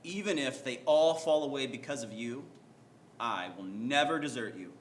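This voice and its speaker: A man's voice: medium pitch, lively intonation, normal speed, lightly acted. A man reading the part of an earnest, insistent disciple in a reader's theater scripture performance. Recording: echoey room, faint background noise.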